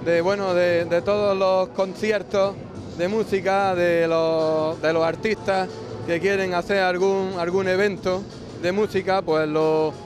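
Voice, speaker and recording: Hesitant man with a high voice. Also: level tone, measured pace, music in the background. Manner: hesitant, repeating words. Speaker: musician. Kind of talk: street interview.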